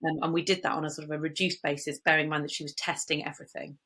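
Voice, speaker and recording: A woman's voice: low-pitched, racing. A middle-aged woman from Bristol with a slight West Country accent, telling her story as a guest on a business podcast and filling her talk with "um" and "uh". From Bristol, England. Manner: lively storytelling with many fillers. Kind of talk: podcast interview.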